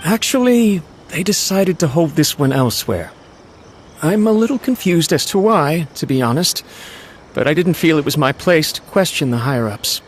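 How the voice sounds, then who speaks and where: A man's voice: on the high side, lively intonation, moderate pace, a little hiss or hum, lightly acted. A man playing a nervous, loyal servant in a video game, speaking in a deferential, hesitant and anxious way. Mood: worried. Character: nervous, humble, loyal male servant of noble clan. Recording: game music playing behind the voice.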